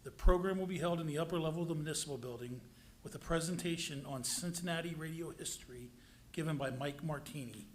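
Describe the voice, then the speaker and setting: A man's voice: on the high side, fast talker, slight background noise. A man giving a brief, casual, somewhat rambling report as a council member. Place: council chamber.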